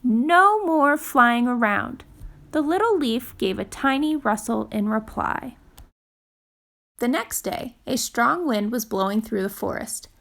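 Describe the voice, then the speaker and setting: Woman, storytime reader, slow careful delivery, higher-pitched voice, gentle expressive storybook narration. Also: vocal fry.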